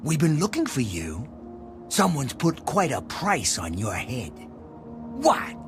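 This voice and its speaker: Slow, wildly swooping gloating killer. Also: male voice, mid-range voice, huge pitch swings, over music, exaggerated voice. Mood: mocking menace. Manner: mocking, theatrical, drawn-out. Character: gloating, menacing wasteland hired killer.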